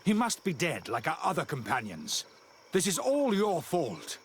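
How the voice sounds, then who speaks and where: Game role: haughtily indignant male priest, very animated pitch, faint swamp frogs behind. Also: normal speed, slight background noise. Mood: accusing, angry. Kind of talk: video game cutscene dialogue.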